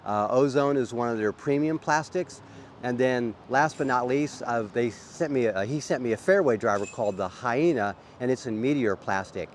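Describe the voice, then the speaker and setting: A man's voice: mid-range voice, moderate pace, slight background noise. A man with a sore throat from a cold, chatting casually as host of a disc golf vlog on an outdoor course. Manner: easygoing, chatty, frequent uhs.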